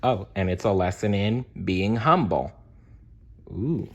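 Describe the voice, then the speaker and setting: Male children's read-aloud narrator: lowish pitch, very animated intonation, dramatic delivery. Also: takes it slowly, husky, muffled recording. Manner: dramatic, explains asides to young listeners. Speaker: storybook reader.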